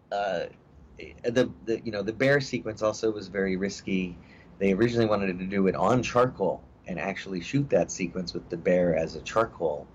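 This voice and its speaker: Man, middle-aged, low voice, rambling guest full of ums, dull lo-fi recording, faint hiss. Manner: rambling, many fillers and restarts. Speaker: actor guest. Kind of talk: casual interview chat.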